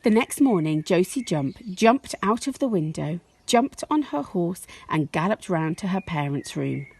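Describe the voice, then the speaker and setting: A woman reading a children's story aloud like a teacher, expressive and measured in her storytelling. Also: on the low side, vocal fry, slightly rough.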